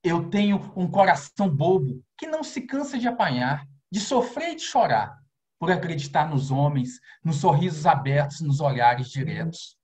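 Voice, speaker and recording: A man from Minas Gerais with a Brazilian accent, reading his prose and poetry aloud with expressive rises on key lines, over a video call. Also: moderate pace. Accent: Brazilian Portuguese. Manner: expressive recitation, rising pitch on key lines. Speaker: poet and novelist.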